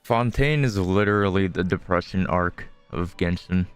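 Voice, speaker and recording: A male game streamer with a fairly low voice. He reads on-screen dialogue aloud and drops in casual reactions, with a long reverb on the recording. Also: normal speed, clear, resonant tone, faint music behind.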